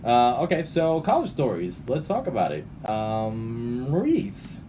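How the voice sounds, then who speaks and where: A man's voice: medium pitch, huge pitch swings, takes it slowly. A man hosting a radio show with casual, jokey and teasing banter. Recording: noisy room, distant mic.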